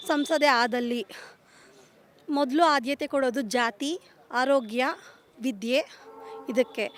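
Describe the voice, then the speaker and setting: High-pitched woman giving her opinions. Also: slight background noise. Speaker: contest participant. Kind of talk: informal opinion speech.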